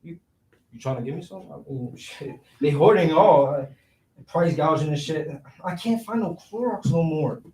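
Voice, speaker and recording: Guy acting as a broke streetwise schemer, high voice, slangy and pushy, stage play reading, echoey and far from the mic. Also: mellow, close to an everyday voice. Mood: exasperated. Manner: slangy, fast-talking persuader with streetwise phrasing. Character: scheming, cash-strapped young city man, streetwise persuasive hustler. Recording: roomy echo, distant microphone.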